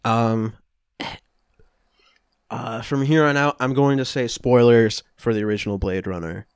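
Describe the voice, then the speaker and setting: A man co-hosting a podcast, casually recapping a film to friends in a halting, conversational way. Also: medium pitch, fairly even intonation, smooth, no room sound, clean studio sound. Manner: halting, loosely conversational storytelling. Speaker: podcast co-host.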